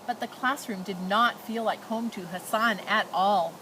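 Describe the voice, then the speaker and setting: A woman's voice: mid-range voice, a little slow, bright, forceful tone. Woman reading a storybook, noisy recording. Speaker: storyteller reading a picture book aloud. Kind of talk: expressive read-aloud narration. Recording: noticeable background noise.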